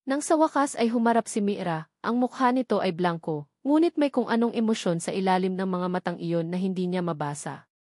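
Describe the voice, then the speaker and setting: A woman's voice: medium pitch, darkish tone, dry recording. A woman with a Filipino accent reading a dramatic scripted story as an audiobook narrator, in a dry recording.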